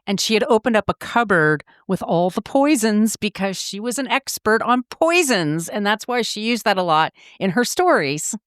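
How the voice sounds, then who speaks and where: A woman with a medium-pitched voice speaks as a podcast co-host. She chats casually in response to a recap, recorded close with very little room sound. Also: very animated, moderate pace, few pauses, a bit bright, dry recording.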